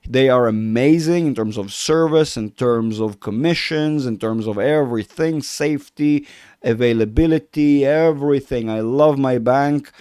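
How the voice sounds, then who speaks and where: A man's voice: mid-range voice, normal speed, a little mellow, clear, resonant tone, no echo, clean studio sound. A man from Israel, a native Russian speaker, hosting a casual market livestream, rambling and self-correcting, effusive in his praise.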